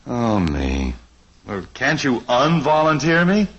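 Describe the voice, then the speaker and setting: A wry, husky sitcom dad. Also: male voice, medium pitch, wildly swooping pitch, slow pace, heavily acted. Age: middle-aged. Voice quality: husky, dry and teasing. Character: patient, wry middle-aged father of the family. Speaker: father. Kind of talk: 1950s radio sitcom acting. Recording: dull lo-fi old radio transcription.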